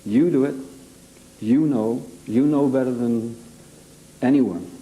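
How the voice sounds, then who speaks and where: A man giving unscripted personal testimony, halting and emphatic, repeating phrases for weight. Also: mid-range voice, mellow, rough recording. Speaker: interviewee giving a personal account.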